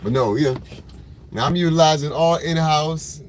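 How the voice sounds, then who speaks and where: Male livestream host, smoker's voice, relaxed and slangy, talking into a phone in the car. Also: on the high side, normal speed. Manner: laid-back, rambling, slangy, greets viewers by name. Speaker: photographer hosting a livestream. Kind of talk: casual livestream chat with viewers. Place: in a car in traffic. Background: faint vehicle noise.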